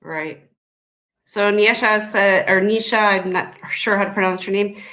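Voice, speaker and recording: A woman's voice: medium pitch, measured pace, a bit bright. A woman co-hosting a casual panel discussion, chatty and plainspoken, reading out listener comments.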